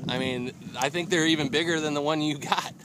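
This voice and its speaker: A man working as a fishing guide, talking excitedly and coaching an angler from a small boat on the open sea. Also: on the high side, a little fast. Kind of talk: excited on-the-water commentary. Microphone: camera on the boat. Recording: loud wind noise on a boat.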